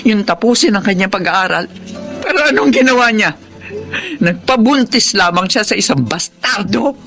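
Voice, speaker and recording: A woman's voice: mid-range voice, expressive, normal speed, creaky. Bitter, wounded mother over music. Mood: anguished, aggrieved. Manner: emphatic, accusing, aggrieved. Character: bitter, wounded mother who refuses to forgive her daughter. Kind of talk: Tagalog radio melodrama acting.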